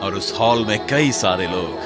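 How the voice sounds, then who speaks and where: A man narrating a cartoon horror story in a lively, dramatic way. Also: fairly low pitch, unhurried. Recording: narration over loud music.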